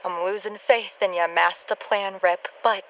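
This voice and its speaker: A woman playing a sarcastic, sharp-tongued nurse in a radio play. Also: medium pitch, steady tone, measured pace, far from the mic, a little hiss or hum. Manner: sarcastic, dry.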